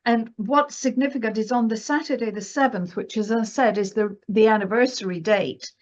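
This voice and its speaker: A woman with a fairly high voice talks as an expert guest in a conversational discussion. She makes her points emphatically and keeps checking that she is being followed. The audio is slightly dull and lo-fi. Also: moderate pace. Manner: emphatic, checks in with "right?" and "you know". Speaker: guest astrologer and market analyst. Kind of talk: conversational interview discussion of financial astrology.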